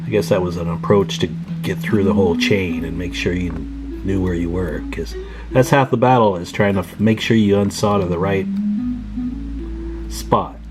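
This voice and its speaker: A man giving a casual, hands-on walkthrough as a hobbyist, thinking aloud in a folksy, musing way. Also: lowish voice. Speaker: hobbyist presenter.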